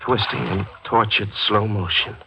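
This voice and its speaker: A man's voice: fairly low pitch, steady tone, creaky, very noisy. An actor playing a tormented, guilt-haunted man in a 1940s radio thriller, narrating and speaking with brooding intensity. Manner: brooding, intense, halting in dialogue. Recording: 1940s radio recording with music behind.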